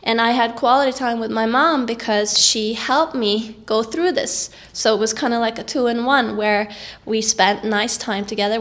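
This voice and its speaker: A woman's voice: a little high, a bit bright. A woman with a cold, giving encouraging tips to camera in a casual vlog. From Norway. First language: Norwegian. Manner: encouraging, upbeat. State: has a cold. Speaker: vlogger.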